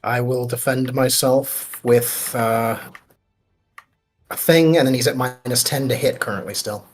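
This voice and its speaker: A man's voice: mid-range voice, a little slow. A man playing a tabletop game, casually explaining rules and tactics. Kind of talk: casual game strategy talk. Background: music.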